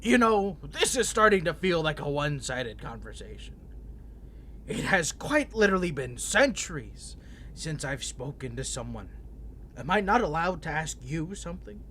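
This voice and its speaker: Cocky, unhinged vampire, high bright voice. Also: male voice, big pitch swings, moderate pace, slight background noise. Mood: curious, probing. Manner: taunting, flirtatious, theatrical, with mock-sweet endearments. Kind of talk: scripted character roleplay audio.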